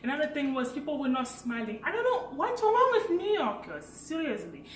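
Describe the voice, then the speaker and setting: A woman in her late twenties from Africa, with a French accent, chatting casually as a vlogger and telling a personal story with lively, laughing energy. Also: high-pitched, moderate pace, reverberant, distant mic, slight background noise. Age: late 20s. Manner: chatty and animated, laughs while telling stories.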